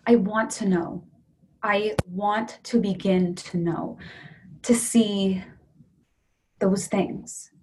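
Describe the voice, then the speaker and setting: A woman's voice: mid-range voice, fairly even intonation, drawn-out words, pauses often, a little mellow, a little hiss or hum, rough recording. An actress playing a remorseful young woman in a play reading, hesitantly searching for words to reach her lover. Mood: apologetic, tender. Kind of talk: dramatic dialogue in a staged play reading.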